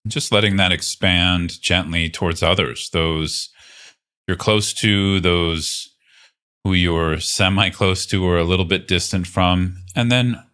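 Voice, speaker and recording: Very deep-voiced man guiding meditation. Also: fairly even intonation, smooth. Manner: gentle, unhurried guiding with long pauses. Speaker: meditation teacher. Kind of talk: guided meditation.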